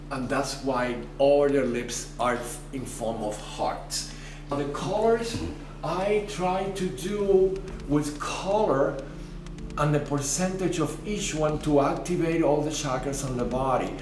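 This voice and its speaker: An older man with a Cuban Spanish accent, a native Spanish speaker, talking thoughtfully about his paintings in an interview and sometimes searching for words. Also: mid-range voice. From Cuba. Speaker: artist. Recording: roomy distant mic with music underneath.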